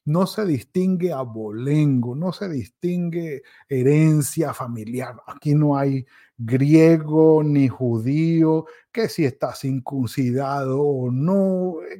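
A male pastor gives a devotional sermon at an unhurried pace. His voice is gravelly and a little husky, with a mellow, darker tone. He self-corrects mid-phrase and slips into quick quoted asides to mimic people's excuses. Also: fairly high pitch. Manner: pauses and restarts, self-corrects.

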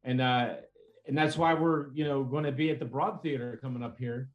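A man's voice: mid-range voice, steady tone, moderate pace, dull audio. A man speaking as a guest in a casual conversation, friendly and upbeat.

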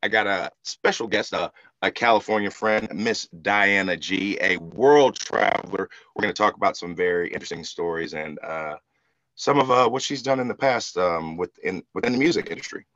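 A man with a low-pitched voice and plenty of fry hosts a casual interview. He talks informally, with slang and teasing asides. The recording is dry and a little muffled. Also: normal speed, fairly bright tone, no echo. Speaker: podcast host. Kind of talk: casual joking interview chat.

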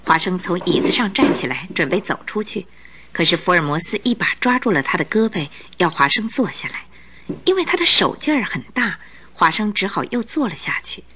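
A woman narrating a radio drama in an expressive, scripted storytelling style. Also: on the low side, fairly quick, slightly rough.

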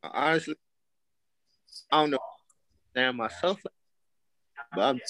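A man's voice: medium pitch, a little slow, gravelly, pushed and bright, rough recording. A man in casual chat with friends, slangy and emphatic.

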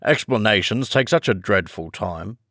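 A man's voice: lowish voice, expressive, normal speed, a bit bright, dry recording, studio quality. Man playing a brusque, solemn griffin beast. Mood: brisk, impatient. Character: gruff, impatient male griffin creature, solemn. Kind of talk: children's storybook character voice.

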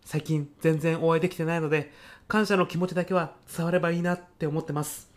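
A man's voice: on the high side, fairly even intonation, fast, natural voice. Male actor as keen young salaryman courier, overzealous, earnest, casually polite, radio comedy sketch. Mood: sincere, polite. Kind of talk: comic radio drama acting.

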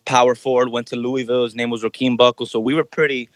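A man's voice: medium pitch, little pitch movement, quick pace, dry recording. A young adult man from Miami, Florida, a basketball trainer, talking casually in a podcast interview and using a lot of "you know" fillers.

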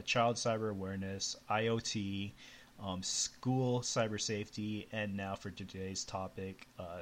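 A man's voice: fairly low pitch, a bit bright, slight background noise. A man who grew up in Canada, hosting a live educational show from his home computer in a casual, chatty way with small laughs and fillers. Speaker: podcast host. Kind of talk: casual live radio chat.

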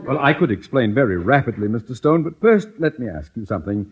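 An actor playing a pompous, scheming middle-aged school principal in an old radio sitcom, wordy and theatrical. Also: male voice, mid-range voice, big pitch swings, fast talker, breathy edge. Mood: pompous, ingratiating. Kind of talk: 1950s radio sitcom acting. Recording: muffled, noisy old-time radio recording.

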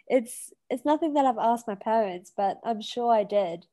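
A woman's voice: a little high, lively intonation, moderate pace, warm tone, no echo, studio quality. A woman in her twenties with a British accent, a podcast guest talking in a reflective, hesitant way with many fillers and restarts. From Kenya. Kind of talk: casual conversation.

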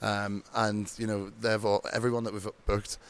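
A man with an Irish accent chatting casually in an interview, relaxed and rambling with many ums and restarts. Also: on the low side, moderate pace, smooth. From Ireland. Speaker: bingo show host, guest.

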